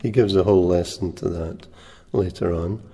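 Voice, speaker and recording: A soft-spoken man teaching a guitar lesson, unhurried, pausing often with ums and uhs. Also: sits low, steady tone. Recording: reverberant room with guitar playing.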